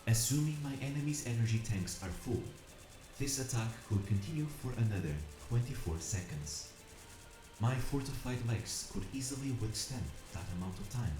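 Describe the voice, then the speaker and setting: A man's voice: mid-range voice, lively intonation, moderate pace, echoey room, lightly acted, low-quality recording. A man playing an earnest, determined, calculating combat robot, narrating an audio drama in the first person with formal, precise diction. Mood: cool calculation. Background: music.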